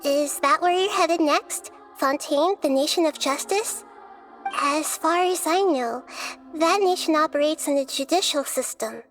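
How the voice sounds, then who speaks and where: High voice, calm and measured, gentle young goddess of wisdom, game cutscene, faint music behind. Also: female voice. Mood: calm, explaining.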